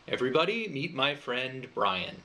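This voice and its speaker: A man, a writer presenting his project, delivering a scripted crowdfunding pitch. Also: big pitch swings, normal speed, bright, forceful tone, poor audio.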